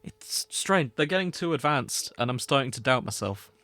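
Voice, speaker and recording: A man's voice: medium pitch, expressive, normal speed, sharp tone, no room sound. A man hosting a podcast in a casual, chatty style. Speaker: podcast host.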